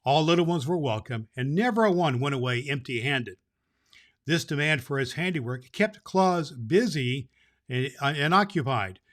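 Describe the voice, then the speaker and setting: A man playing Santa Claus, a jolly old man who reads storybook tales to children and hosts the show in an animated manner. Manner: jolly, animated, booming ho-ho laughs. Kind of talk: storybook reading and address to children.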